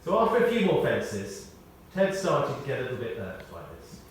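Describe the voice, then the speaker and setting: A man reading a prepared tribute aloud in short, measured phrases, with wry storytelling. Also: mid-range voice, huge pitch swings. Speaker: tribute speaker. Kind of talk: read-out memorial tribute with anecdotes. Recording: echoey hall, distant, faint noise.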